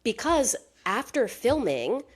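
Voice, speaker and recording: A woman's voice: on the high side, sing-song, slow pace, breathy edge. A woman co-hosting a casual gossip podcast, piecing rumors together with hedges and acting out quoted lines in put-on voices. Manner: hedging, pieces rumors together. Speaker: podcast co-host.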